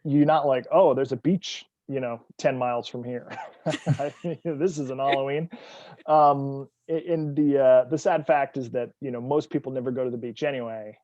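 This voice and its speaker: A man's voice: medium pitch, moderate pace, no room sound, muffled recording. A man from New England, a guest author, telling wry stories in casual conversation. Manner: relaxed, wry storyteller.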